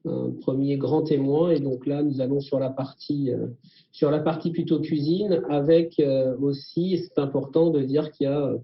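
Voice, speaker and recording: A man with a mid-pitched voice gives a semi-formal welcome on behalf of a school, in a halting way. The recording is echoey and dull. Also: fairly even intonation. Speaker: school representative giving the opening welcome. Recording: echoey, muffled audio.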